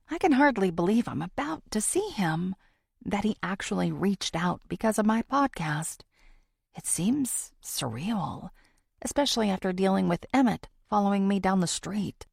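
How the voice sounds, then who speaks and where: A woman reading a first-person thriller audiobook in a dramatic, expressive way. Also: fairly low pitch, vocal fry, slightly rough, dry recording, clean studio sound. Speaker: audiobook narrator.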